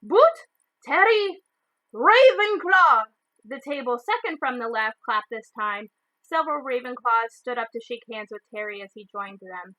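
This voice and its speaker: A woman storyteller reading a children's novel aloud in expressive narration. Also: fairly high pitch, moderate pace, no echo, low-quality recording.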